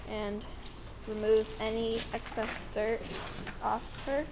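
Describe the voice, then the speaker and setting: High-voiced young woman explaining in a barn. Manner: plain step-by-step explaining, a little unsure at times. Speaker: farm vlogger explaining milking. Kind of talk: casual explanatory narration. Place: indoor dairy barn. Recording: dull lo-fi camera audio with loud barn noise.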